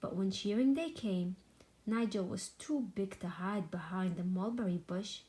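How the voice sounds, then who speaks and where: Slow, expressive woman storyteller. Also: medium pitch. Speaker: storybook reader. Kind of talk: expressive children's read-aloud narration.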